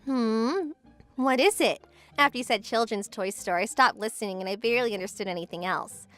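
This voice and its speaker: Woman acting high-pitched huffy schoolgirl Yuri. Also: fairly quick, fairly bright tone, soft background music, lightly acted. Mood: curious. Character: Yuri, tsundere teenage girl, jealous childhood friend in denial. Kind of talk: manga dub romcom acting.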